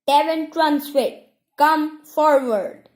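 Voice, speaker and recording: A solemn priestess of a fantasy storybook, as a woman reader puts her on, proclaims in a raised, penetrating pitch. She is formal and commanding, and every phrase is declaimed loudly into an echoing room. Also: takes it slowly. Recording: roomy, echoing home recording.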